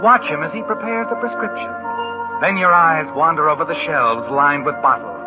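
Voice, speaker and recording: Over loud music on a tinny old radio recording, an actor as an ominous male narrator speaks in a fairly high voice. He is insinuating and suspenseful, in 1940s thriller style. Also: normal speed, close to an everyday voice. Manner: insinuating, suspenseful, rhetorical tag questions. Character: ominous male narrator addressing the schemer as you.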